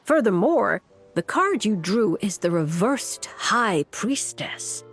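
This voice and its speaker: A woman voicing a calm, knowing fortune teller in a video game, measured and cryptic, with music behind her. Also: medium pitch, lightly acted. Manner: measured, cryptic, gently teasing. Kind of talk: theatrical video game voice acting.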